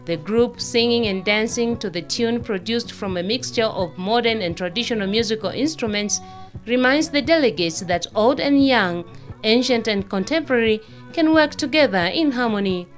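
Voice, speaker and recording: Higher-pitched woman reporting radio news. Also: normal speed, soft background music. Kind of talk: scripted news narration.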